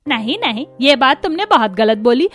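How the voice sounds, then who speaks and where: A stubborn, insistent mother-in-law from a cartoon. Her voice sits fairly high and she talks in a scolding tone. Light music plays behind her. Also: lively intonation, moderate pace. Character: stubborn older mother-in-law, fond of greens.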